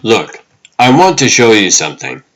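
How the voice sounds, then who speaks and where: A man's voice: fairly low pitch, big pitch swings, moderate pace. A man playing a driven, serious vigilante hero in a comic dub, speaking earnestly. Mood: driven, hopeful.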